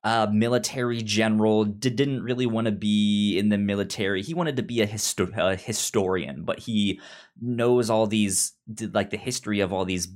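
A man co-hosting a casual discussion podcast, talking haltingly and often repeating sounds and words as he goes. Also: fairly low pitch, steady tone. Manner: halting, frequent stammered repetition of sounds and words. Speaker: podcast co-host. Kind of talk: casual book discussion chat.

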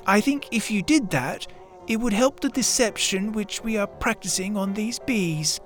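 A performer voicing an earnest, self-important toy bear in a children's readers theatre story, speaking deliberately and solemnly with a gently anxious air. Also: mid-range voice, faint music behind, natural voice. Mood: hushed, scheming.

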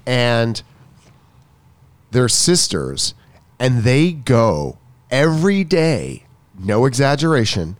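A man's voice: mid-range voice, sing-song, slow pace, pauses often, no echo. A man who coaches tennis, co-hosting a casual chat, earnest and often restarting his sentences. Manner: earnest, interrupts himself and restarts.

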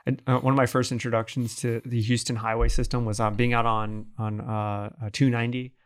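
A man's voice: medium pitch, level tone, brisk, clean tone. A man hosting a podcast interview, asking curious questions and murmuring frequent agreement. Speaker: podcast host. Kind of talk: interview conversation.

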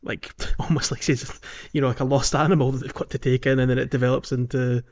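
A man's voice: medium pitch, little pitch movement, fast talker. Slightly muffled man in casual chat. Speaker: podcast host.

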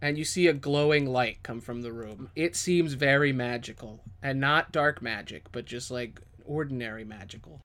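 A man's voice: mid-range voice, normal speed, few pauses, a bit bright. A man improvising descriptive, dramatic second-person narration as the game master of a tabletop fantasy game.

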